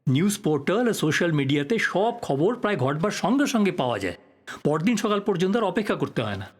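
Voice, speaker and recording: An earnest investigative journalist speaks in a slightly high, very varied voice. He stays polite and persistent, as in an audio play. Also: big pitch swings, quick pace. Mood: subdued. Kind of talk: audio drama acting.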